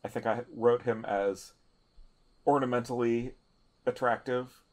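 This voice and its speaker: A man with a medium-pitched voice plays a tabletop game. He talks in dry, measured, rather wordy sentences, moving between casual chat and improvised dialogue. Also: steady tone. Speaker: tabletop role-playing player.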